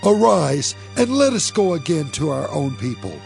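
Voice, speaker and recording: Guy doing urgent fleeing soldier in bible drama, creaky voice, music behind. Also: on the high side, sing-song, normal speed, a little mellow. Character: fleeing foreign soldier urging retreat home.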